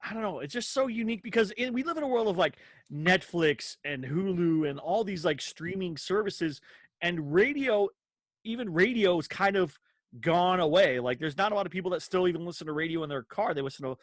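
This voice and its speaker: A man's voice: sits high, lively intonation, brisk, dry recording. A man hosting a podcast, chatting casually in an enthusiastic, effusive way. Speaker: podcast host. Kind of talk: casual chat.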